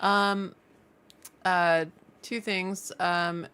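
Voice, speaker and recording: Female livestream co-host, bright tone, casual conversational delivery while relaying viewer comments. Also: on the low side, flat delivery, very slow, clean tone. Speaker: streamer co-host reading chat comments.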